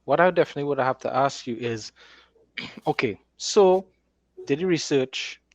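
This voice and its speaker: A man's voice: medium pitch, animated, moderate pace, dry recording, highs cut off. A man hosting a casual conversation as an author, explaining things with enthusiasm. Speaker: host, author. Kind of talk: casual conversational talk about writing.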